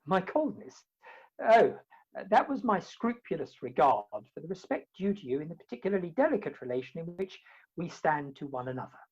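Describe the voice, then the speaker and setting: Husky, flustered gentleman bluffing gallantly, played by a man. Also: on the high side, big pitch swings, a little fast. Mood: startled bluffing. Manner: stammering, pompously formal, blustering. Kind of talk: comic period farce in a radio play. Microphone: video call. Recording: dull, lo-fi video-call sound.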